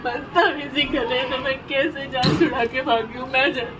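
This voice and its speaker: A woman's voice: high-pitched, steady tone, normal speed, over a noisy crowd, very noisy. A young woman who is crying and distraught, pleading and repeating anguished questions in an emotional outpouring. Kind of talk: emotional outpouring to camera and to God.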